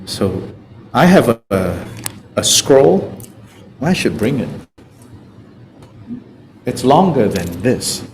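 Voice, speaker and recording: Malaysian guy teaching a class, playful and chatty, really up and down pitch, unhurried, bit of background noise. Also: sing-song, darkish tone. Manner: playful, chuckling, conversational, with repeated checks like "you know". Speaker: Buddhist teacher. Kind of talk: informal spoken teaching with questions from students.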